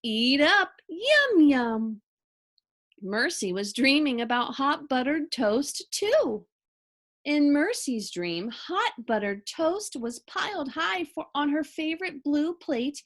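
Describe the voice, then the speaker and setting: A woman reading a children's story aloud with expressive narration. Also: high voice, slow.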